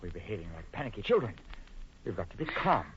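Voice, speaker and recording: An actor playing a high-strung trapped man who insists on reason and protests indignantly, in a vintage radio horror drama. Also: sing-song, fast. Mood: forced calm. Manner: urgent, theatrical, protesting. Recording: muffled, noisy old radio broadcast.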